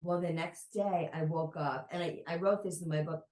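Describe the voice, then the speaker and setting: A woman guest on a podcast, telling a personal story at an unhurried, reflective pace. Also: lowish voice, steady tone, darkish tone. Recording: roomy echo.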